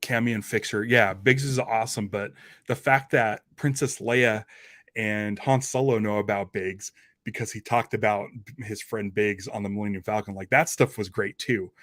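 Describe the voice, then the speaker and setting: A man's voice: lowish voice, fairly even intonation, normal speed, fairly bright tone, dry recording, studio quality. A man hosting a podcast, talking about film in a casual, enthusiastic, conversational way like a fan.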